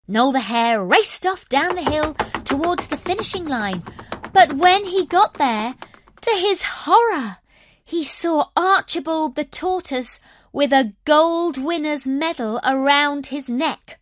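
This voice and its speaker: A woman narrating a scripted children's story in an expressive, deliberate storytelling manner. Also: sits high, no room sound.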